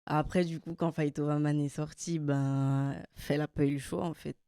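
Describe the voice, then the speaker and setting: A young woman from French Guiana talks about her music in a relaxed interview chat, laughing often between thoughts. Her voice is warm, smooth and dark-toned. The sound is dry and close. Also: deep voice, moderate pace, dry recording. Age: 20s. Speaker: guest singer.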